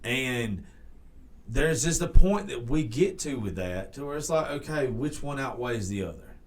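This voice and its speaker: A man's voice: medium pitch, lively intonation, measured pace. A man in his thirties with a Southern accent, chatting casually on a podcast. He talks bluntly and jokes with crude words. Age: 30s. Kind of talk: casual chat.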